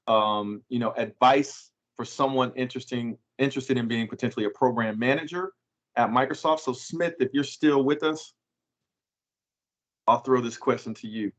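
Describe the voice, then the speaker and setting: A man's voice: mid-range voice, steady tone, moderate pace, fairly bright tone. A middle-aged man moderating a live online panel, upbeat and informal, with casual asides as he passes questions to the panelists. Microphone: video call.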